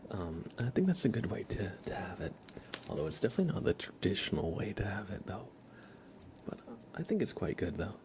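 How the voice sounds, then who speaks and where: A man hosting an ASMR livestream, chatting gently and informally in a soft, breathy voice that often slips into a whisper, close to the microphone. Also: fairly low pitch, very animated, brisk, background noise. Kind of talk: soft-spoken ASMR, casual live chat.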